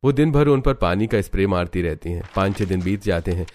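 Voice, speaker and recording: A man's voice: low-pitched, expressive, brisk, a little mellow. A man narrating a scripted cartoon family story as the storyteller.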